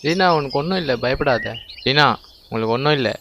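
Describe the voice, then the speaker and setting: A man plays an animal doctor in a children's cartoon. His voice is medium-pitched. He is calm, reassuring and instructive, over faint background noise. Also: brisk.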